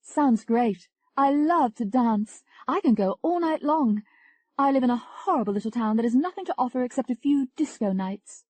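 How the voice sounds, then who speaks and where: A woman voicing an eager, flirtatious Englishwoman, raising her pitch well above her own voice. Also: lively intonation, moderate pace, dry recording. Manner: bright, eager, teasing.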